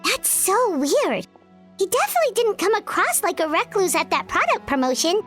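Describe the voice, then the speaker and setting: An actress voicing a small, childlike, curious fairy companion with a squeaky, perky voice in a video game. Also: female voice, big pitch swings, normal speed, a bit bright, soft background music, heavily acted. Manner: perky and exaggerated.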